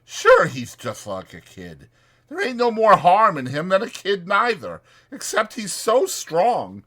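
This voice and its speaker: A man voicing an exasperated but protective ranch hand in a dramatized audiobook, blunt and scolding, with a rough folksy drawl. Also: sits high, wildly swooping pitch, unhurried, a bit bright, pitched above the performer's own voice, full character voice. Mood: scolding, firm. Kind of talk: dramatized audiobook character acting.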